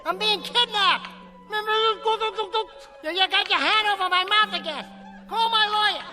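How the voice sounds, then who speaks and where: An actor voicing a brash, cocky, wisecracking ventriloquist's dummy in a radio play comedy routine. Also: male voice, very high voice, takes it slowly, crowd noise. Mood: mock panic. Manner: brash, heckling stage patter.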